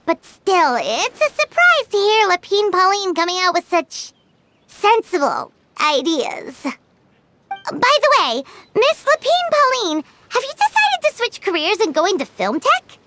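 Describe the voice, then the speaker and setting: A woman voicing a small, cheeky, childlike fairy companion in a video game, squeaky and excitable. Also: measured pace, faint music behind, full character voice. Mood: sceptical, teasing. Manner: third-person self-reference, blunt.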